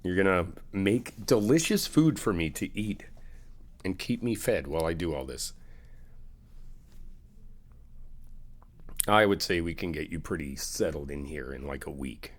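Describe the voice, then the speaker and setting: A man's voice: low-pitched, full character voice. A man playing an easygoing, helpful friend in an ASMR roleplay, talking in a casual, reassuring, conversational way. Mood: encouraging, warm. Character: easygoing, helpful male friend helping someone move in.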